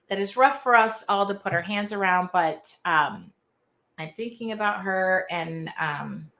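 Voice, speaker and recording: A woman with a nasal Midwestern accent hosting an art journaling live stream from her art studio, talking in a loud, chatty, self-deprecating way. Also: medium pitch. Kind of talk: casual live-stream chat.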